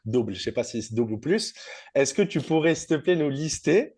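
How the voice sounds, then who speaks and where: A man's voice: on the high side, animated, brisk, darkish tone, breathy edge. A man hosting a podcast in a casual, chatty interview style. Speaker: podcast host.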